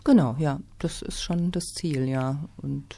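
A woman's voice: sits low, unhurried, creaky. Engaged woman festival organizer. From Hesse, Germany. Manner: lively, engaged, with many fillers. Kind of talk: interview answers.

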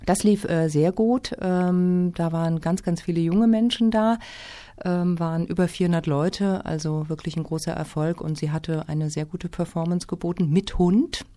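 A woman from Hesse, a literature festival organizer, answering interview questions in a lively, engaged way with many fillers. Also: lowish voice, moderate pace. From Hesse, Germany.

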